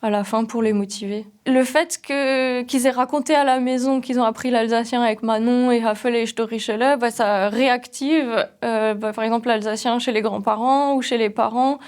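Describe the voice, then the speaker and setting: Earnest young woman, fairly high voice. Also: steady tone, normal speed, hardly any pauses, a little mellow, smooth. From Alsace. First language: Alsatian. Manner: thoughtful, hesitant, earnest. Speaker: Alsatian language teacher. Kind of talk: interview testimony.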